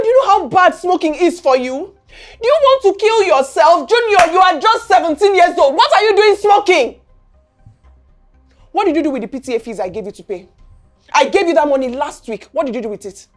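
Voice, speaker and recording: High-pitched, upset Nigerian mother. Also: animated, rapid-fire, a little mellow, soft background music. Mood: angry, scolding. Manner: scolding, pleading, repeats questions. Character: distraught, angry mother scolding her teenage son. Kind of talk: film drama acting.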